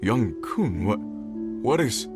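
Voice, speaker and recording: An actor playing an earnest, helpful leader of a search party in a video game, speaking politely. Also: male voice, fairly low pitch, very animated, extremely slow, loud music behind the voice, full character voice. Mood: concerned. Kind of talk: video game cutscene acting.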